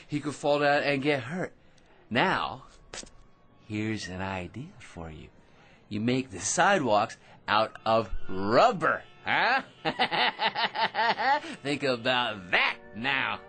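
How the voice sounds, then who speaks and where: An actor in a radio drama playing a boring, tipsy barfly who rambles, stammers and chuckles to himself. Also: male voice, medium pitch, very animated, slow pace, bright, forceful tone, soft background music, full character voice. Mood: tipsy, jovial.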